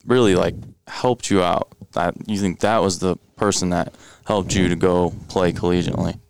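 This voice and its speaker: Deep, gravelly young man hosting. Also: fairly even intonation, moderate pace, background noise. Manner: upbeat, chatty, many fillers. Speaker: podcast host. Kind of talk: casual sports chat.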